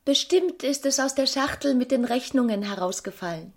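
A woman's voice: sits high, moderate pace. An actress playing a passionate, evasive young married woman in a German radio play, coaxing and theatrical. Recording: music behind the voice.

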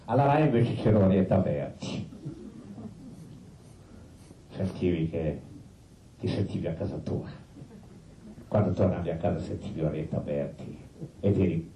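A man delivers a live monologue in a low, deeply dark and soft-edged voice. He reminisces conversationally, repeating himself and throwing in blunt colloquial asides. The pickup is distant and echoey, with faint noise. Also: expressive. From Italy. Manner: colloquial, repetitive, halting, with crude asides. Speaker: stage storyteller. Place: live performance space with musicians.

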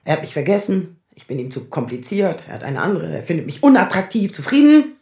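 A low-pitched woman in her thirties, a sharp-tongued and anxious lawyer in a radio drama. She is sarcastic, defensive and combative. The sound is roomy, with clear echo. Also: very animated, brisk. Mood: defensive, agitated. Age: 30s. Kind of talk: naturalistic radio drama acting.

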